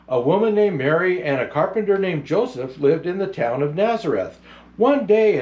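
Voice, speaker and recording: Kindly old Santa storyteller, played by a man: unhurried, grandfatherly, very animated pitch, children's picture book read-aloud. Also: muffled recording.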